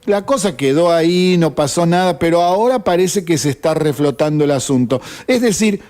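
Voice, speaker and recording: A man's voice: on the high side, lively intonation, normal speed, a little mellow. A man with an Argentine accent, hosting a radio show and delivering an indignant opinion monologue full of rhetorical questions. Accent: Argentine Spanish. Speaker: radio host.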